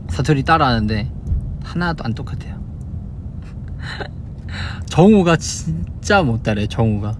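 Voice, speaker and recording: A young man from Busan with a Busan Korean accent, chatting casually in a livestream, answering fan questions and demonstrating dialect phrases. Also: mid-range voice. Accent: Busan Gyeongsang Korean. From Busan, South Korea. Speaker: idol livestream host. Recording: steady car noise behind.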